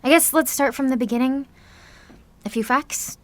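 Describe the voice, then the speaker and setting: A woman's voice: sits high, normal speed, lightly acted. A woman playing a sarcastic, anxious seventeen-year-old supervillain, speaking theatrically in an audio drama monologue. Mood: irritated, sarcastic.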